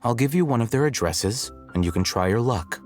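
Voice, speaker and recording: A man playing an aloof, shrewd young scholar in a video game, speaking in a measured, dry, articulate and condescending way. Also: mid-range voice, animated, darkish tone, close to an everyday voice. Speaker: game character voice. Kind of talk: scripted game cutscene dialogue. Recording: music behind the voice.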